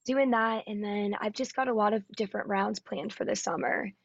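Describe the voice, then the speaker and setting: Raspy-voiced woman, chatty and upbeat. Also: mid-range voice, fairly even intonation, moderate pace, no echo. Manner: upbeat, chatty, frequent ums and likes. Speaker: guest singer-songwriter. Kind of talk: casual interview chat. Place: Nashville area. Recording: dull, dry lo-fi audio.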